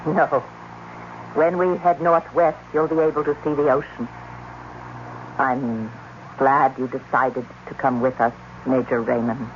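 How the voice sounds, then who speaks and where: Reassuring alien scientist, formal, measured, authoritative, radio drama, dull old broadcast. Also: female voice, rumbling low, steady tone. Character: composed, reassuring alien doctor and explorer, an adult. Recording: muffled, faintly noisy old radio broadcast.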